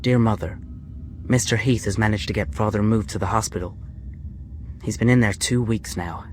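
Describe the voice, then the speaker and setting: A man's voice: fairly low pitch, normal speed, a little mellow, natural voice. Anxious young prisoner played by a man. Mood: hopeful, yearning. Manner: hesitant, earnest. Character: anxious, earnest young American male prisoner, devoted son. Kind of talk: radio drama acting.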